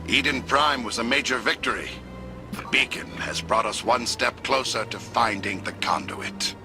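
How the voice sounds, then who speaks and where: A male actor plays a menacing alien traitor in a sci-fi game. He sounds coldly triumphant at a medium pitch. Very loud music swells around the words. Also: normal speed, natural voice. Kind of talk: video game villain voice acting. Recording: game dialogue under very loud ominous music.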